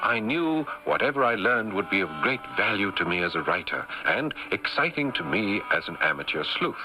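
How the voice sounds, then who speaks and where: An actor playing an eager, genteel Victorian novelist turned amateur sleuth, with theatrical, slightly hesitant phrasing in an old-time radio drama. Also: male voice, fairly low pitch, poor audio. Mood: reflective narrating.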